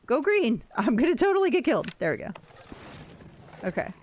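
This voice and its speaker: A woman with a deep voice, chatting casually and rambling while she plays a game on a livestream and answers her chat. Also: sing-song, brisk, darkish tone, slight background noise. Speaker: streamer. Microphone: desk mic, no camera.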